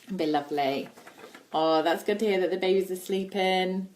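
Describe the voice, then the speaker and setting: Northern English woman, somewhat low-pitched, informal live-stream host, chatty and explanatory. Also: moderate pace, a little mellow. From northern England, Yorkshire. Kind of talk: casual live demo chat. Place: indoors at a family house.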